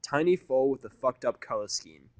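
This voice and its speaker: A man playing a sardonic, prickly human in a story, with a deadpan, biting, blunt delivery. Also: mid-range voice, normal speed, no echo, natural voice. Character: sardonic, prickly, foul-mouthed human male.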